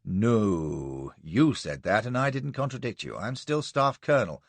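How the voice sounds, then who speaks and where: A man's voice: on the low side, animated, a little slow, dry recording. A man voicing a brash English officer who talks in a breezy, cheeky way, with an English accent. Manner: breezy, shouting.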